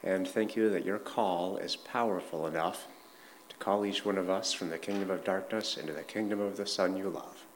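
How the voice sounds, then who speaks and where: A man's voice: deep voice, fairly even intonation, normal speed, slight background noise. A man who opens with an earnest prayer and leads the interview, joking with his guest. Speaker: pastor leading the interview.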